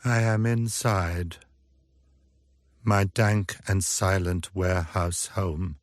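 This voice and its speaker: An actor playing an ancient, lonely male vampire who stammers in a slow, hushed, menacing way, in a radio drama. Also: deep voice, fairly even intonation, dry recording. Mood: brooding.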